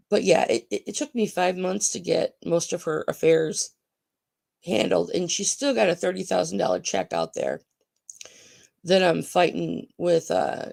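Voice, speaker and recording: Gravelly groggy-voiced woman auction host. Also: lowish voice, darkish tone. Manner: chatty, calls viewers honey. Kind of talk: live-stream sales chat.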